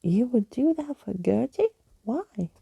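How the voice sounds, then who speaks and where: A woman's voice: a little high, lively intonation, slow pace, creaky, warm tone, slightly rough, no echo, clean studio sound, pitched above the performer's own voice, heavily acted. A woman voicing a needy, childlike female ghost creature, plaintive and trembling, who talks of herself in the third person.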